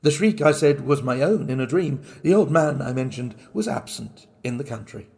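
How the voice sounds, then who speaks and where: A man playing a mad, guilt-racked murderer, telling his horror story in a theatrical, intense and deliberate manner. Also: mid-range voice, lively intonation, darkish tone, lightly acted. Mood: confident, sly. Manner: theatrical, deliberate, intense, broken into short phrases. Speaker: reader of a classic horror story. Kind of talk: dramatic first-person storytelling.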